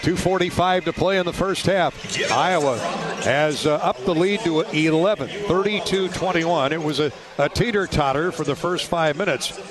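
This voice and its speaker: A man's voice: a little high, normal speed. An American man doing live play-by-play radio commentary of a basketball game. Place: indoor basketball arena. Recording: clear arena noise behind, ball bounces. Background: game ambience, bouncing balls.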